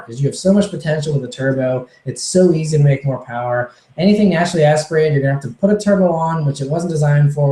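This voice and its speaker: A man answering viewer questions on a casual livestream, rambling and relaxed with many fillers, his voice a little hoarse. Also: on the high side, roomy sound, poor audio. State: slightly hoarse, losing his voice.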